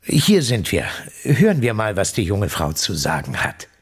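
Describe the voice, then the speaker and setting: An actor playing a coaxing, reassuring police inspector in a radio drama, prompting a witness with leading questions. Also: male voice, fairly low pitch, wildly swooping pitch, moderate pace, breathy edge, heavily acted.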